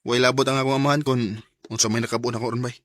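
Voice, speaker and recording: A man's voice: medium pitch, little pitch movement, very fast, fairly bright tone, no room sound, lightly acted. Man acting an earnest young Bisaya student, dry sound. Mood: bitter. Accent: Filipino Bisaya. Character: young male student, earnest, determined, resentful of absent father. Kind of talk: radio drama acting.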